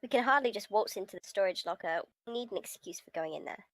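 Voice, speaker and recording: A woman's voice: mid-range voice, animated, quick pace, fairly bright tone. A woman streamer reading a visual novel aloud, giggly and breaking from the script with casual reactions. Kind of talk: live stream read-aloud with casual asides.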